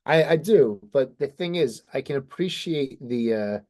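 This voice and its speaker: A man's voice: mid-range voice, animated, moderate pace, darkish tone. Enthusiastic man in casual geeky chat. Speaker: podcast co-host. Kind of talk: casual enthusiastic comic book discussion.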